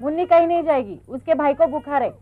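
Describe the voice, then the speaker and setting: High-voiced, snappish village mother. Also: lively intonation, fast. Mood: worried. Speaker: actor in an educational training film. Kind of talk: acted film dialogue. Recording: muffled, slightly noisy soundtrack.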